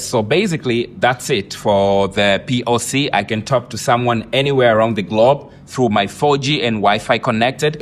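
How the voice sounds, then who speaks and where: A man presenting and demonstrating a product in an explanatory, repetitive way. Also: medium pitch.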